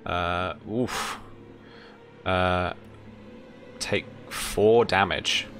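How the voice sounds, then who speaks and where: A young man running a tabletop roleplaying game, narrating and talking through rules casually in a halting, repetitive way. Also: sits low, fairly even intonation, fairly bright tone, soft background music. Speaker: game master. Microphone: headset or desk mic, seated indoors on a video call.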